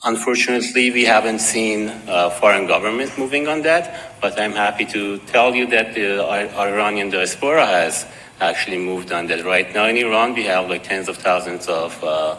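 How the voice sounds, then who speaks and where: A man with a Persian accent giving a conference presentation, measured and dotted with hesitations. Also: fairly low pitch, pushed and bright. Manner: measured, with frequent uh fillers and restarts. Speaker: conference speaker. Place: conference hall. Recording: long hall reverb, faint noise.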